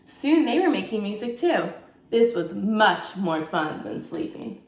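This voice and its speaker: A woman, a teacher, reads a children's bedtime story with lively expression in a mid-pitched voice. She pauses to put questions to her young listeners, and her room gives the voice a clear echo. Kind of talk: children's bedtime read-aloud. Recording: echoey room.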